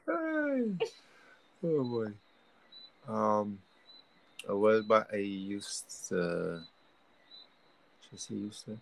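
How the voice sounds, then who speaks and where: A man from Barbados with a Barbadian accent, a guest telling stories in a relaxed, shy, soft-spoken way. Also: on the low side, drawn-out words, creaky, long pauses, slight background noise. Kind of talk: casual podcast chat.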